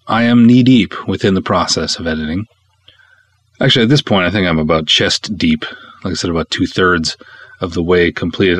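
Low-voiced man in casual podcast talk. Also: dry recording. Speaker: podcast host and film director.

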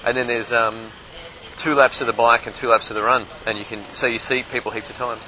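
A man's voice: mid-range voice, fairly even intonation, fairly quick, sharp tone, a little hiss or hum, rough recording. A man casually recapping his race, as a podcast host and amateur triathlete.

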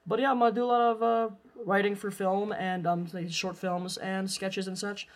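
A man's voice: high-pitched, level tone, moderate pace. Man chatting casually on film podcast. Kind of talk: casual chat.

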